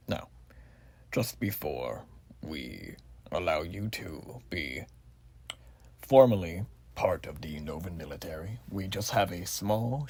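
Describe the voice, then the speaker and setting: Man playing a slow, low, hushed revolutionary soldier. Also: full character voice. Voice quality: soft, breathy, often near whisper. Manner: formal, old-fashioned, slow deliberate phrasing. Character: zealous, folksy revolutionary war soldier examining a new recruit. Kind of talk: ASMR roleplay exam.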